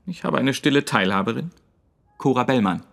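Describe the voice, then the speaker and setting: An actor playing a vain widowed stage actor in a radio play, evasive and defensive while keeping up a smooth front. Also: male voice, mid-range voice, animated, fairly quick, a bit bright. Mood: guarded.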